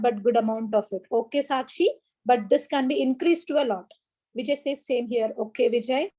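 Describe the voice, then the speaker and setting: A woman's voice: high voice, darkish tone, poor audio. A woman with an Indian English accent teaching a live online class on a video call, encouraging and repeating key points while greeting students by name. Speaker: online exam coaching teacher. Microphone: laptop or headset mic on a video call.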